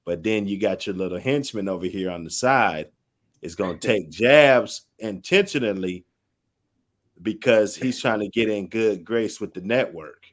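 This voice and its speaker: Brief: lower-voiced man, easygoing reaction host, meandering explanations. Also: animated, normal speed. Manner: loose, rambling explanations with restarts. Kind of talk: casual reaction chat.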